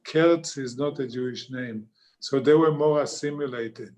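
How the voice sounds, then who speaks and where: Israeli-accented man, mid-pitched, earnest and slightly halting. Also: darkish tone. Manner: earnest, searching for words. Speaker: book club member. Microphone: video call.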